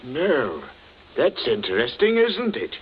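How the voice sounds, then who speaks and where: An actor playing a polite, steady village constable in a 1940s radio drama, measured and questioning. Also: male voice, mid-range voice. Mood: skeptical. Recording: muffled, hissy 1940s radio recording.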